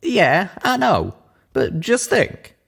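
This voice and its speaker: A man's voice: high voice, wildly swooping pitch, slow pace, creaky, fairly bright tone, slightly rough, voice pushed up, exaggerated voice. A man voicing a folksy male pegasus pony tinkerer. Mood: eager, proud. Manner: folksy drawling speech with country slang.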